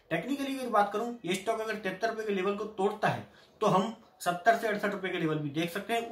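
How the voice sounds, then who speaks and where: A middle-aged Indian man hosting a daily stock market update, briskly reading out price levels and tips. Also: high voice. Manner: brisk, reads out price levels in a lively sing-song. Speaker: stock market analyst host. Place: small indoor room with patterned curtain. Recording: echoey room.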